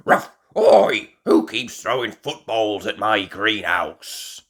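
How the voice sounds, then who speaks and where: The narrator voicing a boisterous old male dog in a children's storybook, gruff and blustery, barking between phrases. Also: medium pitch, takes it slowly, fairly bright tone. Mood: indignant.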